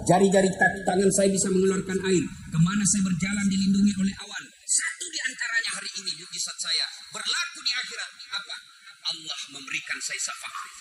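A middle-aged Sulawesi-accented preacher delivers a Friday sermon into a stand mic, his high, bright, forceful voice ringing through an echoey mosque hall. He tells dramatic stories and throws rhetorical questions at the congregation, dropping to a hush and then rising again. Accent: Indonesian from Sulawesi. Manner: dramatic storytelling preacher, rhetorical questions, swings from loud to hushed. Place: mosque stage, indoors. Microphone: stand mic at lectern.

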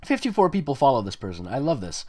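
A man's voice: mid-range voice, huge pitch swings, fast talker, a little mellow. A man reading fan fiction aloud in a mocking, amused way, with sarcastic asides.

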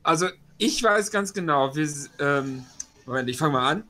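A man's voice: fairly high pitch, lively intonation, normal speed, bright, forceful tone. A man chatting casually and informally as a player in a tabletop role-playing game. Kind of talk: casual, informal chat and recap.

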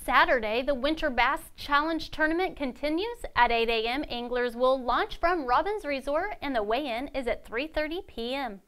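A young woman anchoring a local news update in a studio, reading announcements in a crisp, even newsreader style. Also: sits high, moderate pace, hardly any pauses. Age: young adult.

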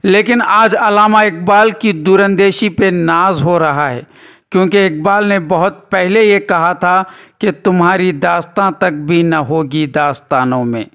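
A man's voice: sits high, measured pace, fairly bright tone, clean tone. A man reporting news as scripted narration with commentary, in formal, rhetorical phrasing.

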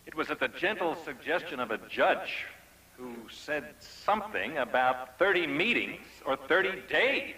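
An actor playing a middle-aged recovering alcoholic, speaking candidly to a meeting. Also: male voice, on the high side, pushed and bright, far from the mic, slight background noise, exaggerated voice, poor audio.

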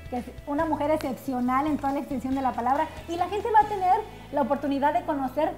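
A woman's voice: high voice, quick pace, darkish tone, faint music behind. A woman co-hosting a morning TV show, welcoming the guest and asking questions in an upbeat way. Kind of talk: TV studio interview. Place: TV studio set. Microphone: lapel.

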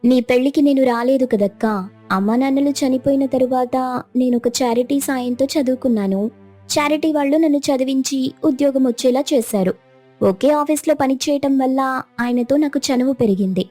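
Woman acting defiant younger sister, animated story, fairly high pitch, very mellow timbre, selfish, self-justifying. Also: fairly quick, faint music behind. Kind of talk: animated moral story dialogue.

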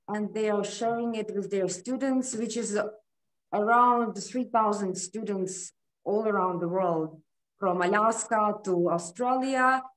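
Woman in an echoey room. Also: medium pitch. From Ukraine. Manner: earnest, with many hesitant "uh"s. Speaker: program coordinator, guest. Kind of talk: casual video interview.

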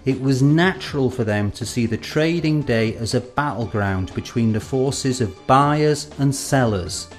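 A man's voice: mid-range voice, measured pace, few pauses. Man narrating an educational film over music. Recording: music under the voice.

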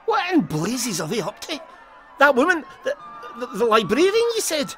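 An actor with a Scottish accent playing a plain-spoken, uneasy, sceptical police constable in an audio drama, grumbling in colloquial speech. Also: male voice, extremely high pitch, wildly swooping pitch, normal speed, sharp tone, faint music behind, exaggerated voice. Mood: curious. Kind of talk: full-cast audio drama acting.

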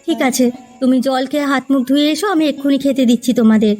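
Woman acting a high-voiced grumbling village wife. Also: very fast, mellow, faint music behind, natural voice. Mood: brisk. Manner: no-nonsense, complaining. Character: hardworking village wife and mother, brisk and grumbling. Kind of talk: cartoon story voice acting.

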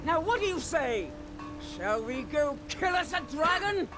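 A stern elf woman who commands soldiers in a fantasy game. She sounds fearless and resolute, giving orders with authority over clearly audible music. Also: high-pitched, big pitch swings, measured pace. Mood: rousing. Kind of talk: fantasy video game acting.

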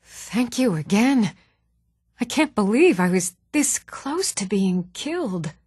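A woman playing poised, articulate townswomen and noblewomen in a fantasy video game, with measured, formal delivery. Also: medium pitch, very animated, darkish tone, breathy edge, no echo, clean studio sound, exaggerated voice. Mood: shaken, grateful. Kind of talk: scripted fantasy game dialogue.

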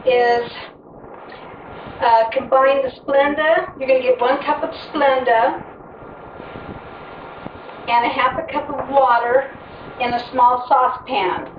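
A middle-aged woman hosting a home cooking video, chatting casually and making self-deprecating asides as she works. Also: sits high. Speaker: home cooking show host. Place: home kitchen, indoors. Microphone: fixed camera across the room. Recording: echoey, distant, dull, noisy home video.

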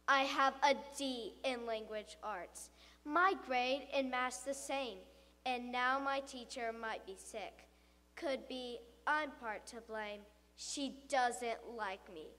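A woman's voice: on the high side, slow pace. A woman playing a dramatic, frightened school pupil telling a tall tale in rhyming verse. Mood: smug, then scared. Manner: dramatic, expressive storytelling. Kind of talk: rhyming comic monologue, theatrically recited. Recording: reverberant hall.

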